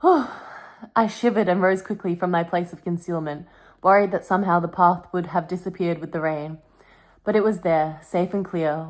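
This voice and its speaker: A young woman vlogging in a casual, confessional way, with a melodramatic, wry and self-mocking manner. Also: on the low side. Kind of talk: casual confessional vlog with dramatic book reading.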